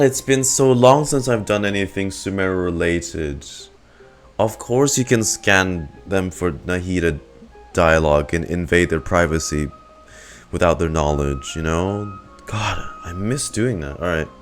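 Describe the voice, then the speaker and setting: A man's voice: sits low, measured pace, faint music behind. A man reacting casually to a game on stream, muttering asides with some mild swearing. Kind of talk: casual live reaction to gameplay.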